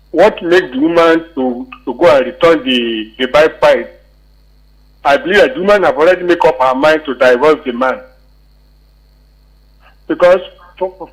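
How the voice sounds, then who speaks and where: A man's voice: fairly high pitch, poor audio. A man with a Nigerian accent calling in to a radio show to give his opinion, earnest and insistent, repeating himself. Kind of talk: giving opinion on a call-in show.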